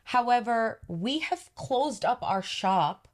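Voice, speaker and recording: A woman with a deep voice, co-hosting a podcast and telling a story in casual chat while acting out the quoted dialogue. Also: expressive, slow. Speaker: podcast co-host, business owner.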